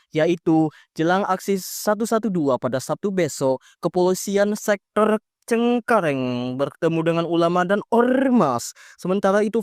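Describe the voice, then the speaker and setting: A man's voice: sits high, no echo, clean studio sound. A man anchoring a television newscast in a formal scripted style. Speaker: news anchor. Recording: music bed behind the voice.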